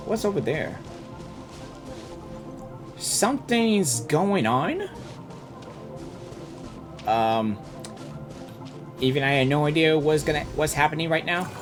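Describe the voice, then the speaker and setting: Lively-pitched, musing game reaction host. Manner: hesitant, repetitive, musing. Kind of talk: casual off-the-cuff game reaction commentary.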